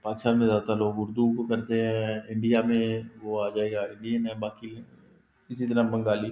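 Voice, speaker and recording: A man's voice: mid-range voice, mellow. A man teaching a live online class, explaining step by step in a conversational way and often checking that his students follow. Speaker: instructor. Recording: echoey room.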